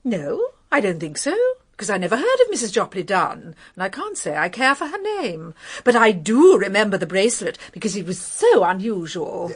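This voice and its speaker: A woman's voice: medium pitch, huge pitch swings, normal speed, few pauses, husky. An actress playing a chatty, gossipy married woman in a radio mystery, airy, rambling and breezily opinionated. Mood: emphatic, indignant. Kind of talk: British radio mystery drama.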